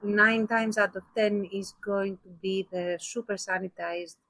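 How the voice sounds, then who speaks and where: Woman, podcast co-host, medium pitch, casual conversational delivery, hesitant and self-qualifying. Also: steady tone, unhurried, pushed and bright, poor audio. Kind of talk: casual discussion, giving opinions.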